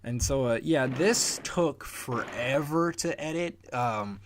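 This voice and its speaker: A man giving a casual, unscripted solo commentary as a creator, in a rambling, halting way and often chuckling. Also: medium pitch, a little hiss or hum. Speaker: fan dub creator, commentator.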